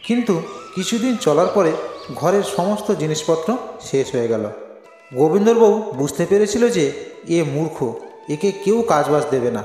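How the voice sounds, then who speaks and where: A man's voice: fairly high pitch, very animated, normal speed, warm tone. A man narrating a scripted moral folk tale as a storyteller. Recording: very echoey reverberant voice track. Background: faint music.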